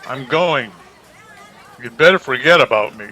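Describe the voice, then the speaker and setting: A man playing a brooding, secretive murderer in old-time radio mystery style, grave, guarded and halting. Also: medium pitch, expressive, bright, forceful tone, a faint crowd. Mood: anguished. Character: brooding, secretive male murderer torn by love. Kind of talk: 1940s radio mystery acting. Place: at home, online video-call performance. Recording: noisy home internet-call recording.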